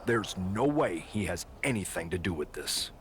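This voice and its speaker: An actor playing an earnest, decisive wrestling show boss in a video game, measured and businesslike. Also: male voice, deep voice, very animated, noisy background. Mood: concerned, businesslike. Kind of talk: scripted video game cut-scene dialogue.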